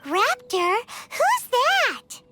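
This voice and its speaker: A woman's voice: extremely high pitch, wildly swooping pitch, drawn-out words, over music, heavily acted. A woman playing a plucky, confident young female scout in a video game, eager and upbeat. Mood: surprised. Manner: eager, can-do. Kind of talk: English video game voice acting.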